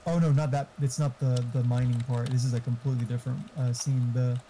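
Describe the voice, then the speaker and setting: Male, dark relaxed tone, little pitch movement. Casual, sweary, rambling gamer chat over faint noise and typing. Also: fast talker.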